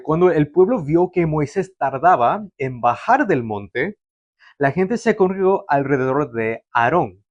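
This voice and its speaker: A man's voice: medium pitch, dry recording. A young man born in Canada, preaching a sermon to a livestream audience in a conversational way, with fillers and restarts. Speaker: pastor.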